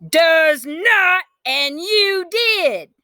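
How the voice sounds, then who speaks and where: Woman playing a feisty, bright-voiced country girl. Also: very high-pitched, lively intonation, drawn-out words, no room sound, clean studio sound, voice pushed up, full character voice. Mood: furious. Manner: folksy, indignant, dramatic. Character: feisty, hot-tempered country girl who vows revenge. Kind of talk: children's storybook character voice.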